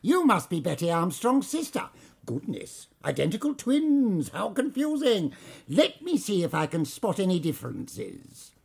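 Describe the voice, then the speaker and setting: An actor playing a pompous, bullying theme park manager with an English accent, in audio drama style. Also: male voice, sits high, huge pitch swings, unhurried, vocal fry, darkish tone, breathy edge, full character voice. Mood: sarcastic. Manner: pompous, theatrical.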